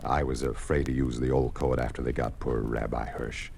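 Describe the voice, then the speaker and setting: An actor playing a kindly older clergyman in a 1950s radio drama, speaking in a measured, gentle, fatherly way. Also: very deep voice, little pitch movement, vocal fry. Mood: warm, solemn. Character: kindly older clergyman posing as a village carpenter. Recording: noisy old 1950s radio recording.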